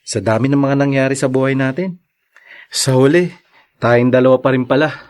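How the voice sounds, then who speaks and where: An actor playing a gentle, devoted young Filipino man courting his old love in a radio drama, courteous and a little hesitant. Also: mid-range voice, steady tone, normal speed, natural voice. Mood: loving.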